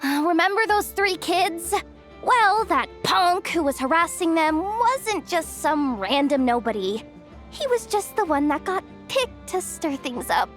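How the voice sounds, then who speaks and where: A woman's voice: extremely high pitch, very animated, unhurried, fairly bright tone, heavily acted. An actress playing a brash, scheming young female expo manager in a video game, with a slangy, dramatic way of talking. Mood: sheepish, exasperated. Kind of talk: animated video game voice acting. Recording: music under the voice.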